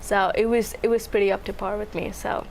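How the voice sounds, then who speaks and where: Relaxed woman, student panelist. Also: medium pitch, brisk, gravelly, a little hiss or hum.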